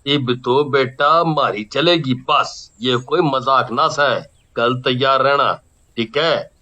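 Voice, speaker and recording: The ghost of a wrestler father in a cartoon, with a Haryanvi accent and a measured, slow delivery. He is firm but loving toward his daughter. The audio is slightly muffled, with faint music behind. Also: medium pitch, bright, forceful tone. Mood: firm, fatherly. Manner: firm, unhurried fatherly speech.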